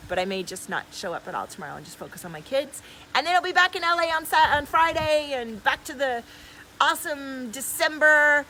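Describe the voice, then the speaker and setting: A woman's voice: sits high, expressive, brisk, sharp tone, poor audio. A woman speaking effusively and emphatically in casual new-age coaching style on a livestream, as a healer and host. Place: outdoors in the desert. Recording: clear background noise, outdoors.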